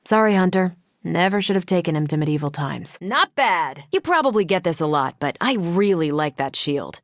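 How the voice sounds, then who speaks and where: An actress voicing a confident, wry, tough but kind superhero soldier in a video game, with an American accent and a dry, casual delivery. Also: female voice, lowish voice, animated, normal speed, no room sound. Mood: teasing. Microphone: close, dry studio microphone.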